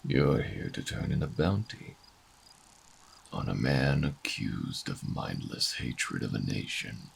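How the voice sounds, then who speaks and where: A bitter old revolutionary fugitive in a sci-fi audio drama. His voice is extremely low and full of gravel, and he speaks slowly, with brooding, defiant theatricality. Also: expressive, heavily acted. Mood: grim resignation. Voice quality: very gravelly, husky. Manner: dramatic, brooding, halting monologue. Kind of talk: audio roleplay drama acting.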